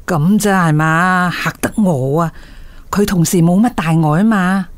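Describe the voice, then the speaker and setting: A woman's voice: lowish voice, measured pace, dry recording, lightly acted. Woman acting loving older mother, dry sound. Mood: worried. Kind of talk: Cantonese radio drama acting.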